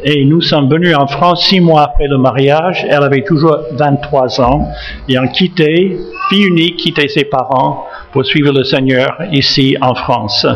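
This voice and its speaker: A man in his seventies from Massachusetts with an American accent, a retired missionary pastor speaking French with many fillers and restarts and gentle jokes. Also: fairly high pitch, muffled recording. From United States, Massachusetts. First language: English. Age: 70s. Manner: halting, frequent fillers and restarts, gently joking. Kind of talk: church talk introducing himself.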